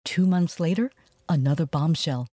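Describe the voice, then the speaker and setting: Mellow-voiced female documentary narrator. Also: sits low, moderate pace, no room sound.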